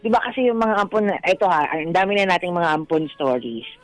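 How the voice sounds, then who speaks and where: A woman's voice: on the low side, lively intonation, brisk, low-quality recording. A woman with a Filipino accent co-hosting a radio show, chatting reflectively in a mix of Tagalog and English. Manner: code-switches between Tagalog and English. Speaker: radio co-host. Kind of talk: casual radio chat with reflective commentary. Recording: dry studio voice over soft music.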